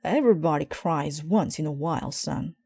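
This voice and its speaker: A woman voicing a calm, reasonable father bear in a storybook, speaking in a reassuring manner. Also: deep voice, moderate pace, vocal fry, slightly rough, no room sound, exaggerated voice.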